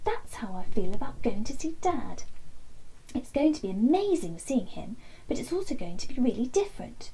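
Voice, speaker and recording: A woman in her thirties reading a children's bedtime story expressively, with dramatic emphasis and hushed passages. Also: a little high, very animated, moderate pace, a little hiss or hum, poor audio. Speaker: storybook reader. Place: small indoor nook lined with soft toys.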